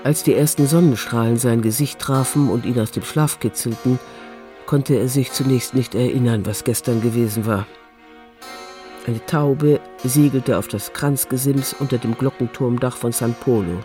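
A man's voice: mid-range voice, mellow. A man narrating a literary radio drama from a script.

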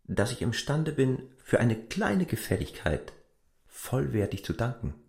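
An actor playing a self-assured land surveyor in a radio drama, speaking formally and insistently. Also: male voice, mid-range voice, big pitch swings, unhurried, creaky, a little mellow. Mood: insistent persuasion.

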